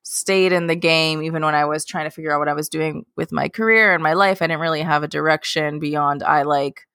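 Woman, late twenties, bright tone, rambling and chatty, guest in an interview. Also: sits low, fairly even intonation, fairly quick, smooth, no echo, studio quality. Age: late 20s. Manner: chatty and rambling, with many fillers and restarts. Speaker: guest, K-pop journalist. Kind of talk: casual interview talk.

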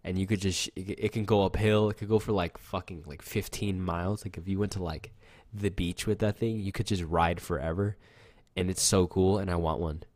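A man's voice: low voice, fairly even intonation, brisk, a little mellow, no echo. A young man co-hosting a podcast, telling loose, rambling stories full of fillers and swearing, with a voice that sounds youthful. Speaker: podcast co-host. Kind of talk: casual chat.

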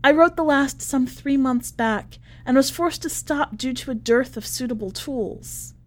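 Woman playing a genteel, unhinged prisoner, higher-pitched. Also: unhurried. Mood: genteel, chatty. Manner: prim, ornate, politely understated phrasing. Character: genteel imprisoned letter writer, chatty, polite, wistful, quietly unhinged. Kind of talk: dramatic short-story reading.

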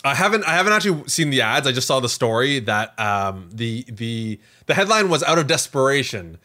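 A man co-hosting a podcast in casual chat, agreeable and quick to chime in with short interjections. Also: mid-range voice, sing-song, pushed and bright. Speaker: podcast co-host.

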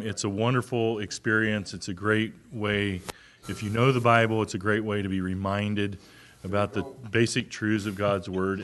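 A man's voice: lowish voice, fairly bright tone. A man from the congregation giving short informal remarks, hesitant and full of fillers. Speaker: church member.

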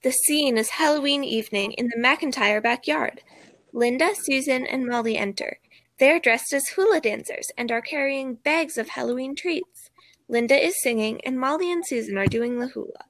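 A woman's voice: sits high, moderate pace, a bit bright, dry recording, low-quality recording. A woman doing scripted narration for an amateur audio play.

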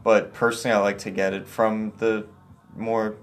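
A young man as a guest in a casual chat, soft-voiced, rambling with many "like"s and restarts. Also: lowish voice, level tone, normal speed, soft background music. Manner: rambling, heavy use of "like", restarts.

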